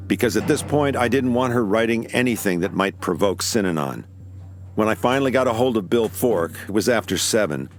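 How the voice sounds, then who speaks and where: A man's voice: fairly low pitch, natural voice. An actor playing an easygoing, wry man of the seventies rock scene in an audio drama, conversational as narrator and in dialogue. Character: Eddie, easygoing man in the 1970s rock scene, telling a murder mystery. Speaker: narrator and lead character. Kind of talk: full cast audio drama, first-person narration and dialogue.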